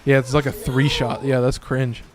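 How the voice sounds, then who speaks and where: A man with a slightly hoarse, raspy voice, giving loose and profane casual commentary while streaming a game. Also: medium pitch, level tone, normal speed, crowd noise. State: a little hoarse. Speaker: gaming streamer.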